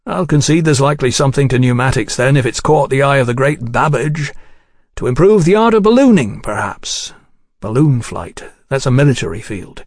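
A man playing a gruff, sceptical English field scientist in an audiobook, measured, wry and matter-of-fact. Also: medium pitch, expressive, no room sound, clean studio sound. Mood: wry, conceding.

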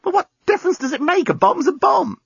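A man voicing an exasperated, sarcastic woman in an audiobook, with shrill and emphatic scolding. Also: sing-song, normal speed, a bit bright, no echo, highs cut off, full character voice. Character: exasperated, sarcastic young woman, quick to yell. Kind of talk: storybook audiobook character voice.

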